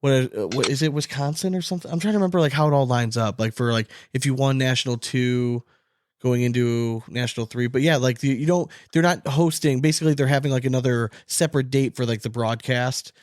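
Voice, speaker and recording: A man's voice: medium pitch, brisk, darkish tone, no echo, studio quality. A man hosting a casual sports podcast, chatting in a blunt, sweary, slangy way. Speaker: podcast host.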